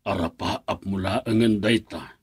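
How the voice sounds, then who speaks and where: A stern middle-aged father in a Filipino radio drama. His low voice is very raspy and Filipino-accented, cold and unforgiving toward whoever crosses his child. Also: moderate pace. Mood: firm, bitter. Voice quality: raspy, gravel-edged.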